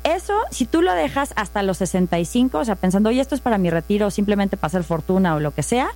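A woman's voice: medium pitch, big pitch swings, fast talker, darkish tone, faint music behind. A woman with a Mexican accent, explaining saving and investing in a patient, methodical chat, walking through worked examples. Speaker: finance guest.

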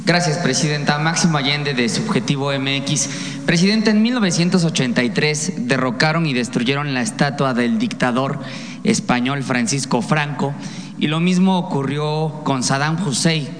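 A man's voice: medium pitch, moderate pace. A Mexican man, a reporter putting a long, formal and somewhat hesitant question at a press conference. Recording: long hall reverb.

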